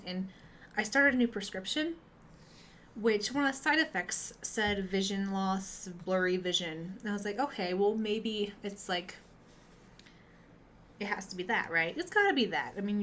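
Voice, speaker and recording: A woman's voice: medium pitch, fairly bright tone, a little hiss or hum. A young woman with a stuffy nose who sounds nasal, chatting candidly to her viewers over a webcam at home. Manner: chatty, candid, laughs at herself. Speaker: gamer and streamer. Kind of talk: casual chat to viewers.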